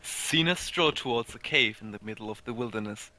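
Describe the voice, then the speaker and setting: A man narrating amateur fan fiction, halting and stumbling over words as he reads aloud. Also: mid-range voice, bright, forceful tone, poor audio.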